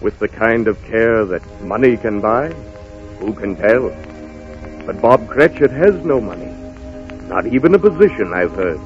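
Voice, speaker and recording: An actor playing a stern, commanding Christmas ghost who scolds solemnly, in a muffled 1930s radio drama. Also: male voice, lowish voice, lively intonation, a little slow. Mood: grave. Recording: muffled old radio broadcast, faint music.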